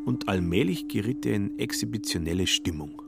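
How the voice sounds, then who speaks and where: An actor as the wry narrator of a satirical radio drama, telling the story and acting out the quoted lines. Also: male voice, fairly low pitch, animated, fairly quick, vocal fry, over loud music. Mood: wry, lively.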